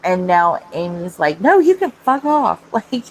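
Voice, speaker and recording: A woman's voice: fairly low pitch, very animated, unhurried, a little hiss or hum, poor audio. A woman in her thirties chatting casually on a video call stream and laughing often. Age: 30s. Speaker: panelist on a live stream. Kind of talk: casual group chat.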